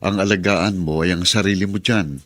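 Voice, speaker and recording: A man's voice: low voice, moderate pace, slight background noise. An actor playing a reassuring husband speaking to his wife abroad by phone in a radio drama. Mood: caring. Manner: warm, reassuring. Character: caring husband at home on the phone.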